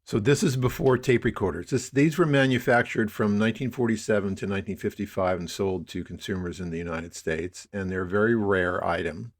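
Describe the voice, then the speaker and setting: An older man being interviewed as a podcast guest, telling stories conversationally in a halting, rambling way. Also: fairly low pitch. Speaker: podcast guest, media producer.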